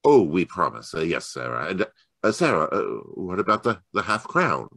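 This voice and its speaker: Guy acting old radio mystery detective, lowish voice, really animated, stammery and formal, dry sound. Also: no echo, heavily acted. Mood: hesitant, coaxing. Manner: halting, stammering, then deliberate and formal. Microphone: home microphone on a video call.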